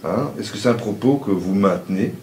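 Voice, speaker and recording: Male interviewer, low voice, measured questions, echoey room with faint noise. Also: a little mellow. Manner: measured, probing.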